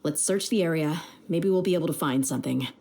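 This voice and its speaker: A woman's voice: low voice, quick pace, mellow, a little hiss or hum. A woman voicing a tough, confident young female mercenary with a blunt, streetwise manner in a video game. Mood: calm, businesslike. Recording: music behind the voice. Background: game music.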